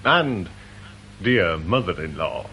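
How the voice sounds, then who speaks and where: Officious British police inspector, very animated. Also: male voice, low-pitched, takes it slowly, exaggerated voice. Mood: dry, prickly. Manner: formal, clipped, long-winded officialese. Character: blunt, officious senior police inspector questioning a suspect. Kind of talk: old-time British radio detective drama acting. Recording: faintly noisy old radio drama recording.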